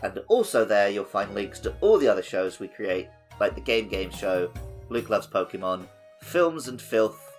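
A man's voice: fairly low pitch, big pitch swings, moderate pace, faint music behind. A man co-hosting a gaming podcast in casual, conversational talk. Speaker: podcast co-host and editor.

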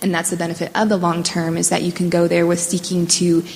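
A woman's voice: fairly low pitch, monotone, quick pace, a little mellow. A woman earnestly explaining her view to a group as a discussion participant. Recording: echoey hall, faint noise.

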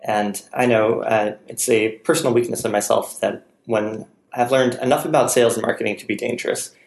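A man's voice: medium pitch, fairly even intonation, fairly quick, creaky, roomy sound. A man hosting a casual podcast, chatty and self-deprecating, talking at length about his work. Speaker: podcast host. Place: room in Palo Alto, California.

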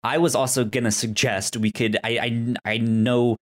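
Halting male podcast host with stammered syllables. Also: medium pitch, fairly even intonation. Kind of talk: casual podcast chat.

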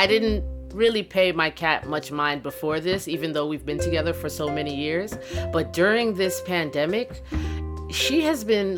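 Lady podcast host chatting casually, mid voice, guitar music behind. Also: medium pitch. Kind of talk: casual chat and show introduction.

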